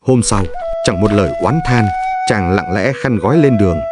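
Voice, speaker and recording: A man's voice: on the low side, normal speed. A man narrating a scripted fairy tale as a storyteller for a cartoon. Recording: music behind the voice. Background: background music.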